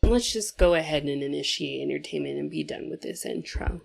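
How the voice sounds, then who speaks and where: Woman, low voice, gravelly, sniffly from a cold, chatty opinionated movie commentary, faint music behind. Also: huge pitch swings, brisk. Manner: chatty, opinionated, talks straight to viewers. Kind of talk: casual reaction commentary.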